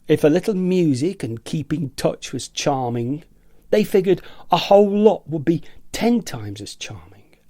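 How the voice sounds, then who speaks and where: Guy playing a fed-up eccentric office rebel in a radio play, kinda high male voice, theatrical, agitated, relishing words, dry sound. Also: warm tone, dry recording. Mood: defiant, aggrieved. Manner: theatrical, savouring words, mimicking nagging voices. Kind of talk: radio play monologue reading.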